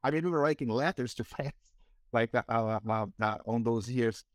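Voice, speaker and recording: A man's voice: medium pitch, moderate pace, no room sound, studio quality. A man in his forties from Brazil, speaking English with a Brazilian Portuguese accent as an interview guest, talking casually with many fillers and restarts. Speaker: guest, marketing executive.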